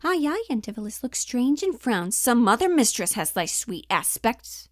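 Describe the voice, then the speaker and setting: A woman's voice: a little high, sing-song, normal speed, no echo, clean studio sound. Woman acting a scorned, passionate Shakespearean wife, declamatory. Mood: hurt, pleading. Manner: declamatory, dramatic, archaic verse delivery. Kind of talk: theatrical classical stage monologue.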